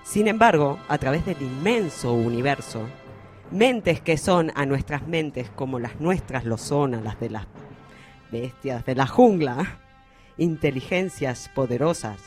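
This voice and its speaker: A man's voice: a little high, darkish tone. A man playing an ominous prologue orator in a radio play, grandiose and melodramatic, warning of sinister invaders. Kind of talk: theatrical scripted narration, War of the Worlds parody.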